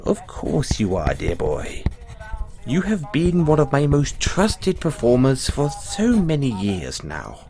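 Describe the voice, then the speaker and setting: A man voicing a refined male playwright in a one-sided audio roleplay, speaking in a theatrical, drawn-out way and dropping low on each "dear boy". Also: huge pitch swings, darkish tone, exaggerated voice. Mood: affectionate.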